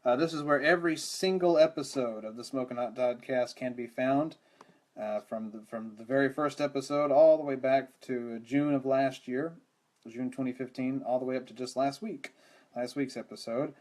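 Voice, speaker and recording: A young man hosting a live podcast stream, his voice hoarse and rattly, chatting casually and rambling with lots of restarts and self-deprecating asides. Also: medium pitch, normal speed, darkish tone. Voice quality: rattly, strained voice. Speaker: podcast host. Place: home room with mic. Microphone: studio microphone.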